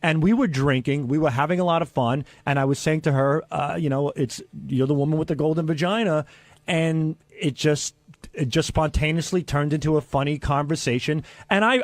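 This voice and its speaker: A man's voice: a little high, no echo. A man in casual radio banter, talking in a brash, crude and defensive way on a close studio mic. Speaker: show regular telling his side.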